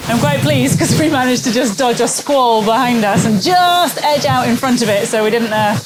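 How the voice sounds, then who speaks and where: A woman's voice: a little high, normal speed, very noisy. A woman chatting casually as crew on a sailing vlog, recorded aboard a boat at sea.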